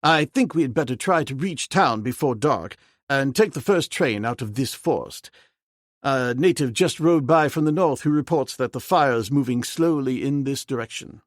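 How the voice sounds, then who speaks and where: A man reading an audiobook, voicing a polite, practical young gentleman. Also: mid-range voice, expressive, normal speed, dry recording, clean studio sound, natural voice. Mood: urgent. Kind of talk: audiobook character voice.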